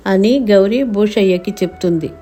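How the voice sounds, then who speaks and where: Mellow female storyteller. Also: medium pitch, moderate pace, soft background music. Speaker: narrator. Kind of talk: scripted children's story narration.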